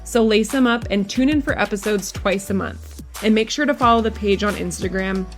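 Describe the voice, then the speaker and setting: A woman's voice: medium pitch, fairly quick. Woman reading a podcast intro over music. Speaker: podcast host. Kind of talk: scripted show intro. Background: faint music.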